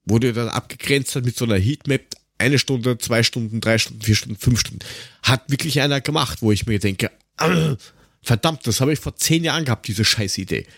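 A man's voice: medium pitch, fairly quick, fairly bright tone, no room sound, clean studio sound. An Austrian man chatting casually as a podcast co-host, rambling and grumbling in a sarcastic, coarse-mouthed way.

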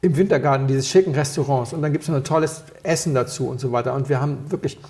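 A man from Berlin chatting casually on a podcast as co-host, rambling through anecdotes with quick asides and self-corrections. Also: mid-range voice, a little mellow. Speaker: podcast co-host and wine taster.

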